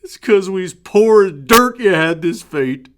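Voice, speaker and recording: A man's voice: high-pitched, expressive, unhurried, a bit bright, pitched above the performer's own voice. A man voicing a grieving rural father who speaks in a folksy country dialect, his lament half a prayer.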